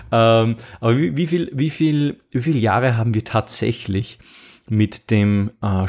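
A man's voice: on the low side, a little mellow. A middle-aged man with an Austrian accent hosting a podcast in a casual chatty way, full of fillers, restarts and rhetorical "ja?". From Upper Austria. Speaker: podcast host.

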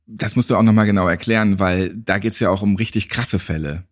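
Lockerer Podcast-Moderator mit tieferer Stimme. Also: male voice, on the low side, steady tone, fairly quick. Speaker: podcast host. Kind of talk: casual interview chat.

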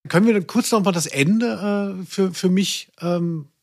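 A man's voice: sits high, unhurried. A man co-hosting a podcast, discussing audio plays casually in a wordy, hesitant way. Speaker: podcast co-host.

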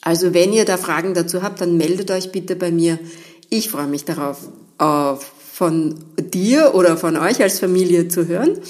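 Female podcast host, lowish pitch, encouraging expert explainer speaking directly to listeners. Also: moderate pace, darkish tone. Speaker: linguist and podcast host giving family language advice. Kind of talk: explanatory solo podcast talk addressed to listeners.

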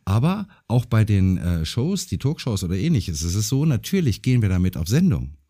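Husky-voiced man hosting a podcast. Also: lowish voice, sing-song, a little fast, warm tone. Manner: encouraging, with frequent backchannel agreement. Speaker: podcast host. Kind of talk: casual interview chat.

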